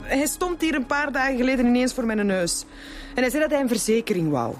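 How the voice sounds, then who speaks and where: An actress playing a guarded, nervous Flemish insurance agent in a radio drama, polite but hesitant and evasive. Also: female voice, fairly high pitch, expressive, brisk, soft background music, close to an everyday voice. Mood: defensive. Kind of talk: naturalistic radio drama acting.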